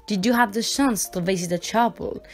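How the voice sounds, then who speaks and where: A woman's voice: medium pitch, moderate pace, faint music behind. Woman narrating a museum tour video. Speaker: voice-over narrator. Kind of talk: scripted narration.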